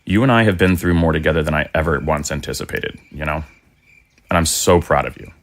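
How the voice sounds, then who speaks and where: A man acts as a devoted middle-aged undead dad in an audio drama. His voice is low, and he talks gently and reflectively, often halting as he searches for earnest words. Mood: tender, reflective.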